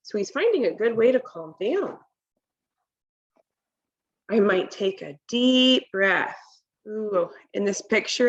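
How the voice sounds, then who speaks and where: A woman in her thirties reading a picture book aloud to children, deliberate and instructional, pausing to explain and ask questions. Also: medium pitch, muffled recording. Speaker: read-aloud presenter for children. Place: home kitchen, indoors.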